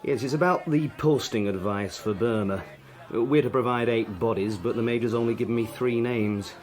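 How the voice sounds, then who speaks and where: An actor playing a polite, quietly scheming British army sergeant, deferential and measured, with a British accent. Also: male voice, medium pitch, natural voice. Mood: businesslike, sly. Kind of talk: radio drama acting.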